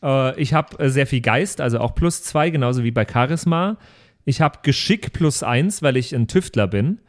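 Animated man chatting over a tabletop game. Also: mid-range voice, moderate pace. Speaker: player on a tabletop role-playing podcast. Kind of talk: casual chat at a tabletop game.

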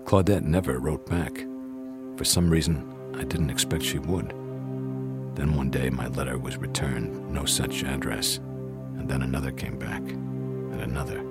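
A man's voice: very deep voice, steady tone, unhurried, gravelly, full character voice. An American man playing a world-weary lover narrating a film noir radio drama. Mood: brooding, wistful. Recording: music behind the voice.

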